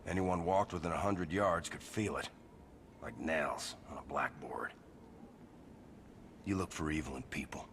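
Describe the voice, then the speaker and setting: Gruff haunted tough guy, extremely low voice, heavy gravel, ominous storytelling, video game cutscene, noisy capture. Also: a little slow, long pauses, fairly bright tone, heavily acted. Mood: grim, ominous. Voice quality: gravelly, breathy low rasp. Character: gruff, brooding man haunted by a burned house.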